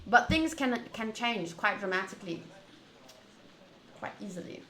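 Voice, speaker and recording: Female game streamer, bright voice, opinionated casual rambling, recorded in an echoey room. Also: medium pitch, measured pace. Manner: animated, opinionated, wandering, often rephrasing mid-thought. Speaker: streamer playing a visual novel. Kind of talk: casual rambling chat with viewers during a game playthrough. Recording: echoey room, faint background noise.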